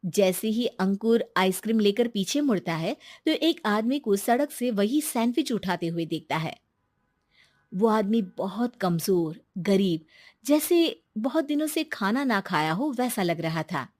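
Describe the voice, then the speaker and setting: A woman narrating an animated moral story in a calm, even storytelling manner. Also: mid-range voice, unhurried, no room sound, clean studio sound.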